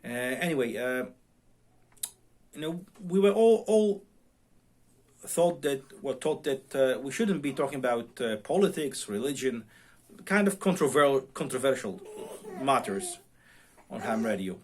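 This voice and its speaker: A middle-aged man, a self-taught non-native English speaker, talks casually to viewers as a radio hobbyist, often pausing and repeating himself while searching for words. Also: mid-range voice, animated. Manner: halting, searching for words, repeats word starts. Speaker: amateur radio vlogger. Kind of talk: casual unscripted talk to viewers. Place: home radio room.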